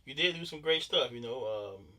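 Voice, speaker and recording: A man's voice: medium pitch, lively intonation, moderate pace, bright, forceful tone, distant mic. A man hosting a podcast, asking questions and affirming his guest in casual, encouraging talk. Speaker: podcast host.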